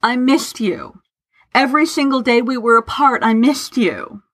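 Woman voicing an impassioned, hurt man, pitched up. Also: expressive, unhurried, fairly bright tone. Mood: earnest, insistent. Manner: formal, old-fashioned phrasing. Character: Lawrence, hurt, earnest, passionately pleading man.

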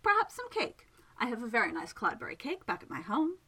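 Prim polite gentleman magician, a lady putting him on, breathy, swinging tone. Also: fairly high pitch, wildly swooping pitch, fairly quick, exaggerated voice. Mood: coaxing. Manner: precise, politely formal. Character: prim, even-tempered gentleman village magician.

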